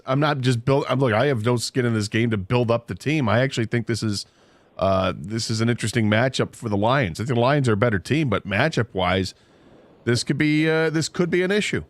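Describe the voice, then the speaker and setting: A man co-hosting a sports talk radio show, arguing his points in a measured way. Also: medium pitch, dry recording. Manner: measured, argumentative. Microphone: studio microphone.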